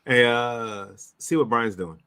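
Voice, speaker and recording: A man's voice: medium pitch, unhurried, sharp tone, clean tone. A man co-hosting a casual chat, chipping in with short, jokey remarks. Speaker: co-host of the show.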